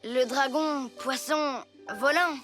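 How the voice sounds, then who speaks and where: A woman voicing an eager, earnest young boy rabbit in a preschool cartoon, with childlike, expressive delivery. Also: sits high, slow pace, loud music behind the voice.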